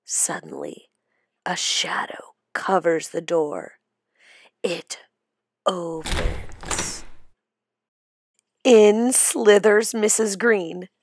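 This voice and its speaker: A woman narrates a children's storybook with theatrical suspense. She takes it very slowly and moves her pitch around expressively. The recording is dry, with hardly any room in it. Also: animated, husky, dry recording. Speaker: storybook reader. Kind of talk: dramatic children's read-aloud narration.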